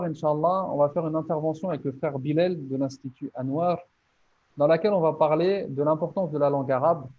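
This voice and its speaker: Male teacher, higher-pitched, earnest and motivating, walking through a plan step by step; slightly muffled audio. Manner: earnest, motivating, explains step by step with many restarts. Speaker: Islamic teacher presenting his online course. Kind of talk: spoken course presentation.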